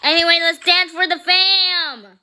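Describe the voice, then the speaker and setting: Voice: very high-pitched, steady tone, measured pace, bright, forceful tone. A child hosting a gaming video, chatting casually during play. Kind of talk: casual chat during Roblox gameplay.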